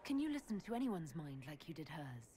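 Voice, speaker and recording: A woman playing a composed, level-headed leader in a fantasy video game, speaking in a measured, direct way. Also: deep voice, very animated, dark tone, slight background noise. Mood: curious. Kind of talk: dramatic video game voice acting.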